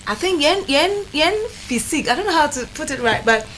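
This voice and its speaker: A woman's voice: high-pitched, very animated, brisk, a loud crowd, rough recording. A Ghanaian-accented woman panelist in casual discussion, switching languages and searching for words. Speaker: talk show panelist. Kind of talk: casual discussion, mixing English with a local language. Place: studio. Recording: faint noise in studio recording.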